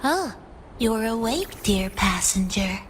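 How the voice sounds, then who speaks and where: A woman voicing a gentle, playful female guide in an anime-style game, speaking softly and attentively with light teasing. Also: fairly high pitch, very animated, takes it slowly, slight background noise. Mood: gentle, caring. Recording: music behind the voice.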